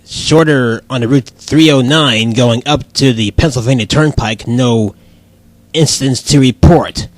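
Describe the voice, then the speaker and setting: A man playing a measured, low-key radio weather and traffic reporter. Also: no room sound, close to an everyday voice. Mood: low-key reporting.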